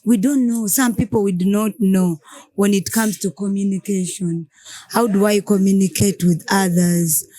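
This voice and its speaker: A woman's voice: mid-range voice, fairly even intonation, moderate pace, dark tone. A woman with a Ugandan English accent in a casual group conversation, explaining ideas through examples and answering her own questions.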